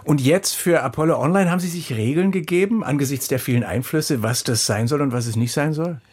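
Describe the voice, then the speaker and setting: A man's voice: fairly high pitch, fairly quick, darkish tone, slightly rough. A man interviewing a musician, putting polite, formal questions backed by prepared detail.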